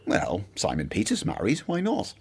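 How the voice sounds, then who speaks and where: Eager husky guy explaining stuff, low voice that jumps around. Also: wildly swooping pitch, normal speed, exaggerated voice. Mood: earnest. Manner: eager, earnest, talks up ideas. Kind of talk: radio drama acting.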